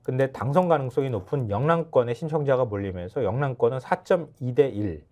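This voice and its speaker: A middle-aged man giving political commentary on a studio talk show, animated and acting out quotes in a higher voice. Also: brisk. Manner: animated, acts out quotes with sudden pitch jumps.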